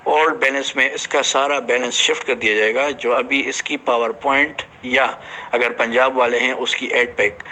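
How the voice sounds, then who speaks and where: A man gives an informal, explanatory announcement to his team as a company director, halting at times and mixing in English business terms. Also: mid-range voice, bright, forceful tone, a little hiss or hum, low-quality recording.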